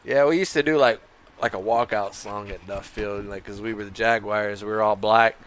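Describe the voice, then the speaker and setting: A man hosting a podcast in casual comedic banter, laughing often and breaking into loud joking song. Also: lowish voice, steady tone, normal speed. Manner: laughs a lot, bursts into loud joking singing. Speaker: podcast host.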